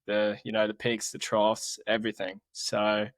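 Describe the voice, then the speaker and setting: A man's voice: on the low side, completely flat delivery, normal speed, no room sound. A young Australian man with an Australian accent, a guest talking about his life in a casual interview, earnest and laid-back. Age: young adult. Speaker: mountaineer guest.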